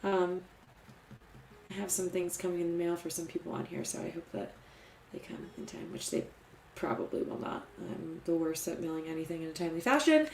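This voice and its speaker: A woman's voice: on the low side, a little mellow, echoey room, poor audio. A woman singer-songwriter chatting casually with a friend over a livestream video call, giggling and using lots of fillers. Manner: rapid, giggly, filler-heavy chat.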